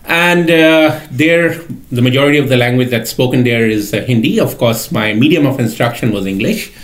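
A middle-aged man from India, a lecturer speaking methodically with frequent "uh" pauses as a podcast guest. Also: medium pitch. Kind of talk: podcast interview.

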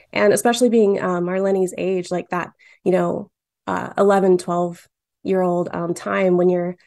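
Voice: mid-range voice, a little mellow, no room sound, clean studio sound. A cartoonist in their late twenties from Michigan, answering interview questions in a chatty way with lots of fillers. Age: late 20s. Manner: chatty, many fillers, laughs often. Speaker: guest cartoonist.

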